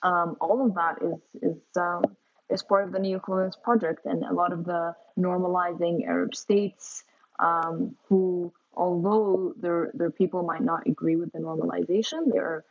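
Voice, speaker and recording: A woman's voice: on the low side, fairly even intonation, normal speed. A woman speaking as an interview guest, hesitant and full of fillers and restarts. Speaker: guest, youth movement organizer. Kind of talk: casual interview answers. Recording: dull lo-fi sound.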